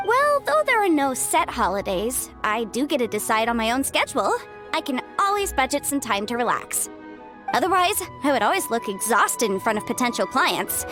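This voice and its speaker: A woman's voice: sits high, very animated, moderate pace, over music. An actress voicing a breezy, self-assured young woman consultant in a video game, articulate and playfully defensive. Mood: breezy, confident.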